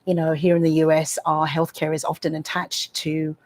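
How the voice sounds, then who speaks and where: A woman with a British accent interviewing an author on stage, measured and leaning on "kind of" as she frames her questions. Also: deep voice, little pitch movement. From United Kingdom. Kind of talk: live onstage interview.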